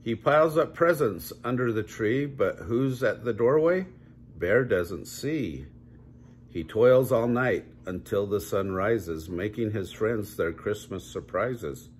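An older man reading a children's story aloud at home in measured, drawn-out storybook phrasing. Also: fairly low pitch, lively intonation, slightly rough. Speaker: grandpa reading a picture book aloud. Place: small home room, indoors.

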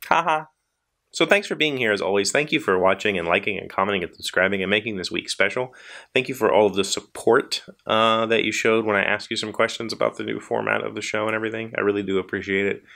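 Male host, forties, American, lowish voice. Casual, rambling, self-deprecating chat straight to viewers. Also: fast talker, creaky, sharp tone. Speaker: host of a daily video show. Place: small indoor workshop crowded with tools.

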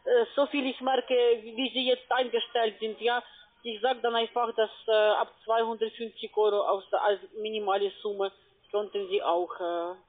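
A woman, speaking in a halting way as a telephone sales caller. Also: on the high side, level tone. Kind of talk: phone sales talk. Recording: muffled narrowband phone line.

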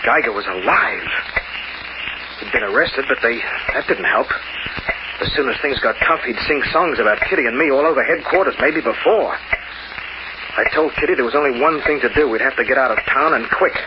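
An actor playing a wry, laconic, hard-boiled crook in an old radio noir drama. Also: male voice, medium pitch, fairly quick, natural voice. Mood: wry, tense narration. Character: hard-boiled male crook narrating his failed heist, wry, menacing. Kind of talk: 1940s-50s radio noir narration. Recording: noisy, dull old radio recording.

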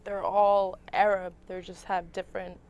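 A woman's voice: mid-range voice, flat delivery, moderate pace, darkish tone, clean tone, muffled recording. A young woman talking casually as the co-host of a talk show. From United States. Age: young adult. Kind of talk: casual chat. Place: small TV studio with curtains.

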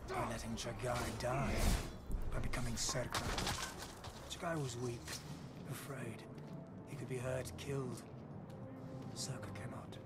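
A man's voice: mid-range voice, a little slow, pauses often, very noisy, close to an everyday voice. An actor playing a shrewd, hardened male mercenary leader in a dramatic video game, wry and assertive. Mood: grim. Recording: clear music behind.

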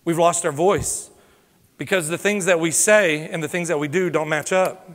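A pastor preaching a fervent sermon, swinging from loud exhortation to hushed, confessional pleading. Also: male voice, on the high side, normal speed. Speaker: lead pastor. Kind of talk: impassioned sermon. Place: church sanctuary. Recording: long hall reverb from a church sanctuary.

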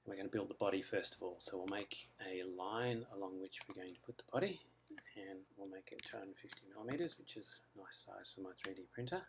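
Low gravelly Australian man. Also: fairly quick, background noise. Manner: relaxed step-by-step explaining with frequent ums. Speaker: software author demonstrating his add-in. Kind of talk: screen-recorded software tutorial. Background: mouse clicks and keyboard typing.

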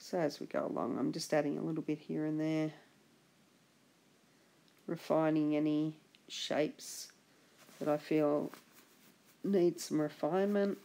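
A woman giving a relaxed drawing tutorial as a voice-over, husky from a chest infection, chatty and encouraging. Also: deep voice, measured pace, long pauses. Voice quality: husky, gravelly. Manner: chatty, encouraging, trails off and restarts. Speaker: art instructor.

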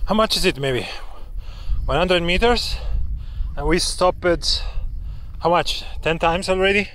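A man's voice: high voice, animated, a little slow, a bit bright, a little hiss or hum. Joking Croatian-accented man on a hike. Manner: teasing, crude, joking. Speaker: vlogger. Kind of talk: casual chat while hiking. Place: outdoors on hilly grassland and woods. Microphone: handheld camera mic.

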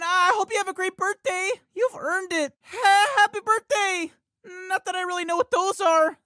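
A man's voice: very high voice, bright, forceful tone, dry recording, studio quality. A man voicing an anxious, awkward fourteen-year-old cartoon boy for a video game, with a cracking adolescent voice and a hesitant, stammering delivery. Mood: awkward, friendly. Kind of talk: video game character voice lines.

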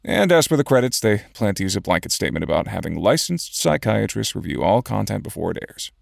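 A man acts as an investigative journalist in an audio drama. His voice is gravelly and mid-pitched, with animated swings in intonation. He sounds businesslike and persuasive. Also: fast talker, no room sound, clean studio sound, exaggerated voice. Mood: businesslike, keen. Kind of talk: scripted audio drama dialogue.

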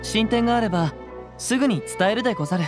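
A man's voice: sits high, fast, lightly acted. Game role: man as thoughtful young ronin, composed, polite, old-fashioned samurai speech, background music. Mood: composed, reassuring. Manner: composed, archaic samurai phrasing. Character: calm young wandering samurai, courteous and thoughtful. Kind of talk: video game voice acting.

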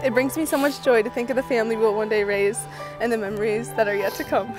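A woman's voice: a little high, steady tone, quick pace. A young woman, a bride, tenderly giving heartfelt wedding vows at an outdoor ceremony. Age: young adult. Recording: music under the voice. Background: music.

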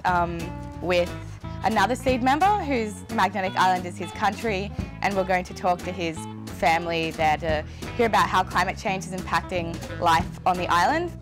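Young Australian female, casual interviewee recounting a story, clear music bed behind her. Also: fairly low pitch, moderate pace. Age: young adult. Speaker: youth climate network member. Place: indoor living room on a sofa.

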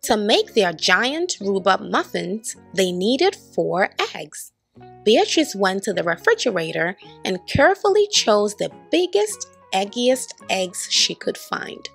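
A woman giving an expressive children's storybook read-aloud, putting on voices for the characters. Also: medium pitch, slow, vocal fry, husky, soft background music. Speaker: storybook reader.

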